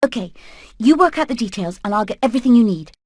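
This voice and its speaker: A woman's voice: a little high, animated, fast talker, a little mellow, breathy edge, no room sound, studio quality. A woman voicing a determined hen leader in a video game, earnest and purposeful. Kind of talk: animated video game acting.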